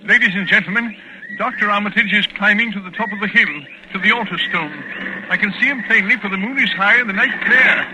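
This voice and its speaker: Actor as a very high-pitched, tense scholar. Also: male voice, a little fast, lightly acted. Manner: formal, tense. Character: earnest, frightened male scholar reporting a monster. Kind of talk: 1940s radio acting, live eyewitness report. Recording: noisy vintage 1940s radio recording.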